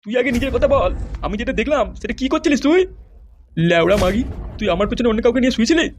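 A man's voice: extremely high pitch, animated, rapid-fire, a little hiss or hum. A man voicing a furious, foul-mouthed politician husband in a comedy cartoon, scolding and accusing. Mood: angry, accusing.

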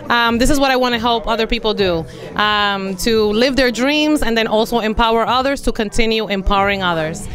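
A woman's voice: on the high side, fairly bright tone. A woman in her forties, a life and business coach, speaking earnestly with many fillers into a handheld mic at an indoor event. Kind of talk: event interview testimonial. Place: indoor event room against a plain wall.

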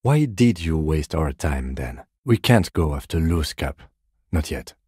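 A man voicing a composed, methodical police detective in a video game, with a measured, restrained, deadpan delivery. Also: a bass voice, husky, no echo, studio quality, full character voice. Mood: calm, probing. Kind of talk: scripted game dialogue.

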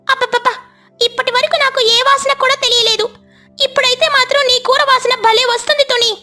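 Woman doing an excitable high-voiced parrot lady. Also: quick pace, faint music behind. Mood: amazed, delighted. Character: excitable, friendly female parrot neighbour in cartoon fable.

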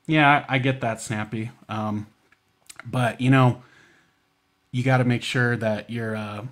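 A man's voice: mid-range voice, steady tone, a little slow, fairly bright tone. An American man hosting a children's storytime, speaking in a folksy, informal, coaxing way with frequent check-in questions. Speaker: library storytime host.

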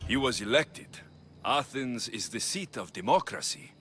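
An actor playing a blunt, skeptical Greek mercenary in video game dialogue, with a dry, challenging manner. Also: male voice, mid-range voice, lively intonation, measured pace, fairly bright tone, slight background noise.

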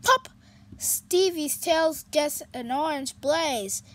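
A storyteller reading a children's picture book aloud in an expressive, animated way. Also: high voice, slow pace. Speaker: storybook reader.

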